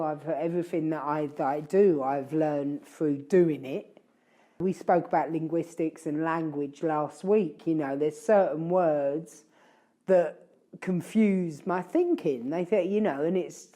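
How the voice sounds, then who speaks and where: A woman's voice: deep voice, dark tone. A woman hosting a casual chat, talking in a rambling, reflective way and often correcting herself. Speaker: podcast host.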